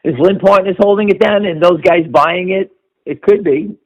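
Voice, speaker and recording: The audio is slightly dull and lo-fi, like a conference call. A man with a fairly high voice gives off-the-cuff market commentary. He is emphatic and insistent, leaning on short statements and rhetorical questions to drive each point. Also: normal speed.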